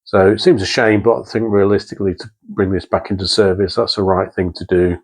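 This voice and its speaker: Low-voiced man, very even delivery. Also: brisk, husky. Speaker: presenter of a vintage radio restoration channel. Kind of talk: unscripted hands-on workshop commentary. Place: indoor workbench in a small workshop.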